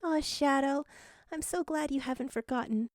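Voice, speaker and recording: A woman voicing a gentle, caring female spirit guide with a soft, tender manner. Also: high-pitched, normal speed, no echo, clean studio sound, voice pushed up, lightly acted.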